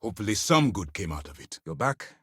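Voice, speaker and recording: An actor playing a stern, principled space navy commander in a video game, speaking in a formal, deliberate, authoritative way. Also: male voice, lowish voice, sing-song, husky, dry recording, clean studio sound, full character voice. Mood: measured, grave. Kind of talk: scripted dramatic game dialogue.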